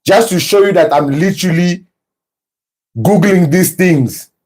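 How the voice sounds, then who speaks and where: A man's voice: high voice, a little slow, darkish tone. A man hosting a podcast, giving heated, mocking reaction commentary. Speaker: podcast host.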